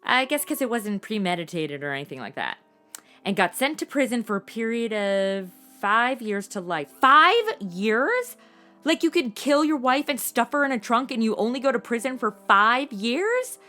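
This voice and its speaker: A woman's voice: a little high, very animated, normal speed, pushed and bright, faint music behind. A woman playing a sassy, spunky twenty-something amateur cop in a comedic audio drama, with flippant, slangy delivery. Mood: incredulous.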